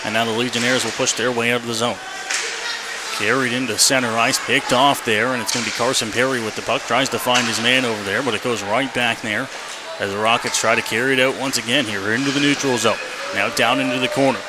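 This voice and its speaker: Over the steady noise of a live arena feed, a man commentates ice hockey for radio. His voice sits at a medium pitch. He follows the play in clipped, continuous phrases, in the manner of a play-by-play announcer. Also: fairly quick. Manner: clipped running phrases, rising to excited calls on shots.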